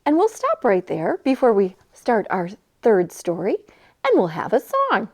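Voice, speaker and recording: A woman leading a children's storytime, talking in an animated way and putting questions straight to young listeners. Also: on the high side, a little slow. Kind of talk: children's storytime read-aloud and chat.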